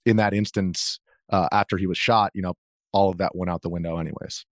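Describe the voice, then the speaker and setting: A man with a fairly low voice talks quickly as an interview guest. His account is casual and matter-of-fact, recorded dry and close. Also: fairly even intonation, no echo. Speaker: interview guest, video journalist. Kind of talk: casual first-hand recounting.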